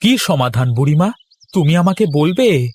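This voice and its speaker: A man's voice: fairly high pitch, sing-song, normal speed, darkish tone, breathy edge. A man voicing an earnest, clever poor village farmer in a cartoon folk tale, with an exclamatory, pleading way of talking.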